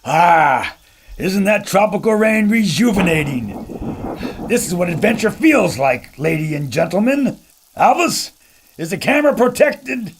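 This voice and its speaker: An actor playing a brash, boastful adventurer filmmaker who talks with a gruff swagger. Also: male voice, high voice, big pitch swings, unhurried, full character voice. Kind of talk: audio drama acting.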